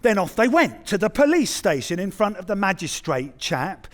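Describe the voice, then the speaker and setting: A male actor tells a story in comic verse from a theatre stage. His voice is very high for a man and swoops widely, with a theatrical storytelling manner. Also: big pitch swings, normal speed. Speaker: actor reciting poetry.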